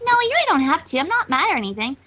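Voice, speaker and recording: A woman's voice: sits high, very animated, very fast, sharp tone, exaggerated voice. A woman voicing a sweet, easygoing little filly, a younger sister with an innocent, childlike manner. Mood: eager.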